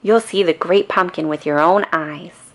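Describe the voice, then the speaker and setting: A woman voicing an earnest, excitable young boy full of stubborn hope in a children's storybook. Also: on the low side, unhurried, lightly acted. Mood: hopeful. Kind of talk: children's storybook read-aloud.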